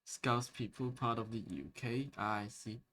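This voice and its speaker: A man's voice: medium pitch, moderate pace, fairly bright tone. A young man with a Malaysian English accent, a native Chinese speaker, chatting casually and rambling on stream with lots of fillers and restarts. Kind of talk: casual chat while drawing on stream.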